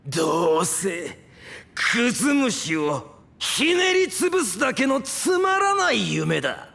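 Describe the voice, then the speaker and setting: A man's voice: extremely high pitch, huge pitch swings, slow, creaky, pushed and bright, exaggerated voice. A man playing an arrogant, sadistic supervillain in a Japanese audio drama, speaking in a haughty, theatrical way. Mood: scornful, amused. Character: arrogant, sadistic male supervillain toying with victims. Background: prominent music.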